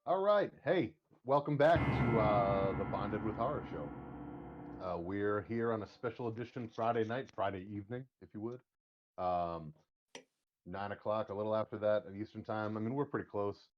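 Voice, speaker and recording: Guy hosting a podcast, fairly low voice, jokey and swears a lot, kinda muffled lo-fi audio. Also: normal speed, noisy background. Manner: joking, rambling, profane. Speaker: podcast host. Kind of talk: casual chat.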